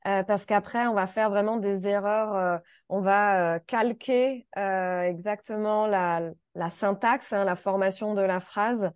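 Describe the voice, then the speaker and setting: A woman's voice: medium pitch, steady tone, measured pace, few pauses, clear, resonant tone, dry recording, clean studio sound. A woman hosting a casual conversation about learning French, thoughtful and searching for her words with light laughs.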